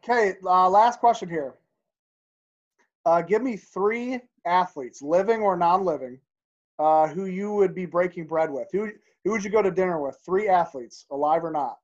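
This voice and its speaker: A man hosting a podcast, asking casual questions in a relaxed, encouraging way. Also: high-pitched. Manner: relaxed, encouraging, quick to laugh along. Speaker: podcast host. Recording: dull, muffled audio.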